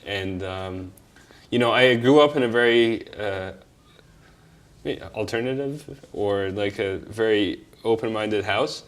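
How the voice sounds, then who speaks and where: A young man from Egypt recounts his life in a loose, unscripted way. He stops and restarts often, leans on fillers, and drops the odd curse. His voice sits a little low. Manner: halting, many fillers and restarts; candid, offhand swearing. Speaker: interviewee telling his life story. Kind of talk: casual personal storytelling.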